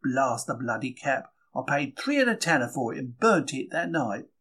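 Husky, plucky bookseller, acted by a man. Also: mid-range voice, very animated, normal speed, a bit bright. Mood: pleased, proud. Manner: colloquial, wry, emphatic storytelling. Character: young 1930s London working-class bookseller, chatty, plucky, easily wounded. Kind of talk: dramatic first-person diary reading.

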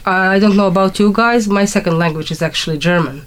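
A woman with a Croatian accent speaks at a medium pitch. She is a native Croatian speaker, talking conversationally as a guest in an interview. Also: fairly quick.

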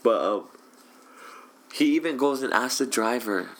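Young man, casual chat, lively swooping delivery, swears a lot. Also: mid-range voice, a little slow. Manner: swears casually, animated storytelling. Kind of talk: casual chat among friends.

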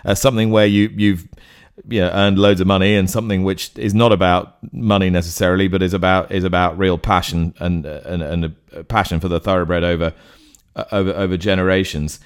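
A man's voice: low voice, little pitch movement, a little fast, smooth. A man hosting a racing podcast, asking long, rambling questions with restarts. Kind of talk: interview.

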